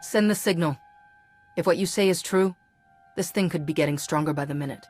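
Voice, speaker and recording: An actress playing a serious, decisive commander with a direct manner in a video game cutscene. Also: female voice, lowish voice, fairly quick, a little mellow, natural voice. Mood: serious, focused. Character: serious, decisive adult female commander hero. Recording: background music under the voice.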